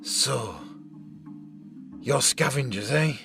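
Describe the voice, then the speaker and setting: An actor playing an older survivor leader in an audio drama, a menacing villain with a gravelly voice and a mocking, deliberate, sarcastic manner. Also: male voice, medium pitch, over music. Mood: mock friendly.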